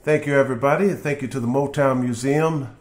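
A middle-aged man reading a children's book aloud in an expressive narrating style, seated in a room. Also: mid-range voice, fast talker. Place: small indoor room with guitars on the wall.

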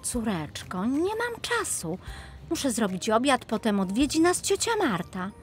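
A gentle, busy mother from a children's tale, played by a woman storyteller. Her voice moves up and down in an animated way over faint music. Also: a little high, a little slow, pitched above the performer's own voice. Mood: busy, apologetic. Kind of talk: children's audio fairy tale.

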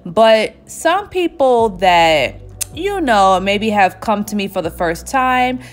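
Chatty salon-owner woman, 30s, lively storyteller, faint music behind. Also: mid-range voice, slow pace. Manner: chatty, animated storytelling with frequent laughs. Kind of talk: casual storytime while getting a wig installed. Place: small indoor hair salon. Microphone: camera at chest height, seated facing it.

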